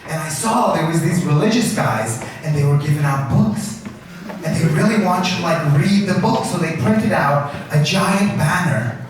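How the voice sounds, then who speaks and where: A man's voice: a little high, normal speed. Echoey male stand-up host. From Turkey. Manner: animated, addresses the audience directly, tags sentences with "okay?". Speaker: stand-up comedian hosting a science slam. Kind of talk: stand-up comedy, crowd work. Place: indoor event venue with live audience. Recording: echoey, noisy live venue, far from mic.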